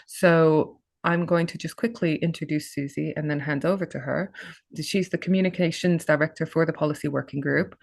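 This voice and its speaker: A woman hosting a webinar, introducing a guest in a friendly, halting way with many fillers. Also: sits low, steady tone, vocal fry, no room sound, studio quality. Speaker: webinar host.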